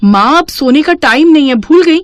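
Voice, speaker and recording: Woman playing a scolding young daughter, high voice, impatient, exasperated, cartoon drama, lively swings in pitch. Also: moderate pace, a little hiss or hum. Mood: chiding. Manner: chiding, impatient. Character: scolding, exasperated young daughter, nagging her lazy mother. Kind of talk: Hindi cartoon moral story acting.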